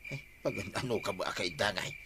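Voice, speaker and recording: A man's voice: fairly low pitch, lively intonation, moderate pace, sharp tone, slight background noise. A man with an Ilocano accent playing an earnest, anxious husband in a radio drama. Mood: worried.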